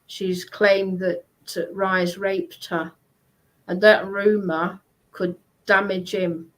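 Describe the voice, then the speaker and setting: Woman chatting, really slow, hesitant, keeps stopping and repeating herself. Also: mid-range voice, little pitch movement, rough recording. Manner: hesitant, halting, repetitive. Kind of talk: casual chat.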